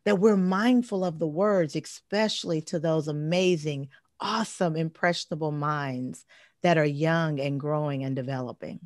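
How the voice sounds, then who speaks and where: A woman in her forties with a heavy, deep voice, telling stories in an emphatic, animated way as a guest in a casual interview. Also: moderate pace, no room sound, clean studio sound. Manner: emphatic, animated storytelling with repeated phrases. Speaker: guest speaker and life coach.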